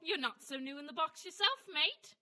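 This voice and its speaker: A woman's voice: sits high, very animated, normal speed, bright, forceful tone, full character voice. A woman playing a sassy talking headband interface with a British accent and brash, insulting slang in a sci-fi audio drama. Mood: scornful.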